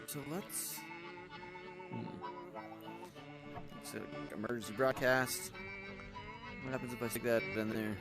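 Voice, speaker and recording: A man's voice: medium pitch, a little slow, long pauses, loud music behind the voice, poor audio. A man hosting a gaming video with casual gameplay commentary.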